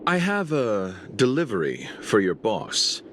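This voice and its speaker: An actor playing a calm, guarded traveling merchant in a video game, speaking politely and with measured composure. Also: male voice, lowish voice. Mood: composed, wary. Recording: game audio with clear background noise.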